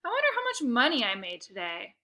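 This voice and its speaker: A woman reading a children's book aloud, voicing a cocky, defensive young boy in an expressive way. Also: fairly high pitch, fairly quick, full character voice. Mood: taunting. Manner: expressive, exclamatory in dialogue. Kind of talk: first-person children's book read-aloud.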